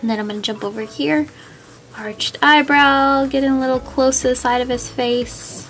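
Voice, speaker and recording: A woman's voice: high-pitched, steady tone, normal speed, a bit bright, faint music behind, rough recording. A woman hosting a step-by-step drawing tutorial, friendly and easygoing, a little sniffly from a cold. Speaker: art tutorial host.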